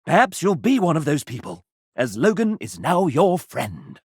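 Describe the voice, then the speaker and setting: A man voicing a sassy, arch gentleman who narrates a dating video game in a theatrical, mock-genteel and sardonic manner. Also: on the high side, very animated, normal speed, slightly rough, dry recording, studio quality, heavily acted. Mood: sassy, wry.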